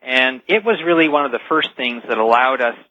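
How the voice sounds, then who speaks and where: A man's voice: medium pitch, lively intonation, brisk, sharp tone, no echo. A man speaking as an expert radio guest, explaining technology informally in dull, lo-fi interview audio.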